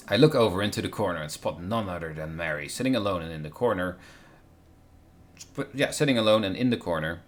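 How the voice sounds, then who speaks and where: A man reading a visual novel aloud on a stream, breaking off with wry commentary. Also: on the low side. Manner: wry, interjects remarks on the script. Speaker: game streamer, co-reader. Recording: faint noise on a live stream.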